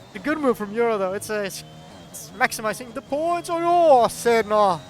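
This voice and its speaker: A man from Norway with a Norwegian accent, not a native English speaker, giving chatty, excitable commentary on a sim race. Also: very high-pitched, moderate pace, a little hiss or hum. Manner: chatty, excitable, laughs often.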